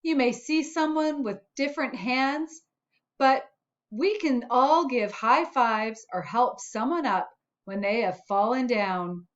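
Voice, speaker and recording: A friendly storybook spot, voiced by a woman, speaks slowly and encouragingly to children. The voice is a bit high, with simple, cheerful helper phrasing. The sound is slightly dull and lo-fi. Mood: upbeat, encouraging.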